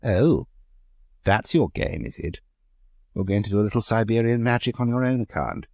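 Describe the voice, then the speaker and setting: A man's voice: fairly low pitch, moderate pace, darkish tone, no room sound, studio quality, voice pushed up, natural voice. A man doing an amused old aristocrat. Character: amused aristocratic older lord.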